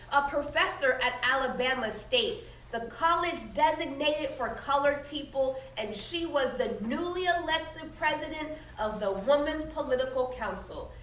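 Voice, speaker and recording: A woman teacher reading a storybook aloud expressively to young pupils, emphatic and instructive, pausing to explain and ask questions. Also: sits high, a bit bright. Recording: echoey room, distant mic, faint noise.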